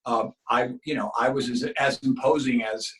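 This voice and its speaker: A middle-aged man born in Cuba, whose first language was Spanish, talking at an everyday pitch. He tells long stories in earnest, often restarting his sentences. His delivery sounds like a guest chatting on an interview show. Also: steady tone, normal speed, fairly bright tone, rough recording. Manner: earnest, talks in long runs with many restarts. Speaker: guest actor. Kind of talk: casual interview storytelling.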